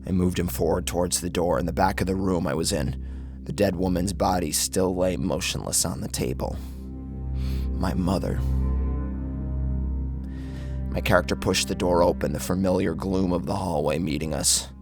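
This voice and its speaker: A man's voice: deep voice, moderate pace, gravelly. A man narrating a first-person horror story in a grave, intense, dramatic manner. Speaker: audiobook narrator. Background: music.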